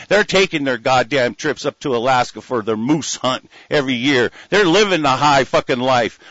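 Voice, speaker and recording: A man's voice: medium pitch, fairly bright tone, dry recording. An American man delivering a furious, profane, heated monologue rant, on a dull lo-fi recording. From United States. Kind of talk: angry ranting monologue.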